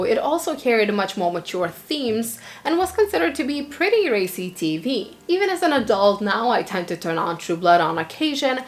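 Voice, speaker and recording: Opinionated young woman, Lithuanian native speaker. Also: mid-range voice. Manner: candid, opinionated, conversational with occasional fillers. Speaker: book vlogger. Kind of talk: book review vlog.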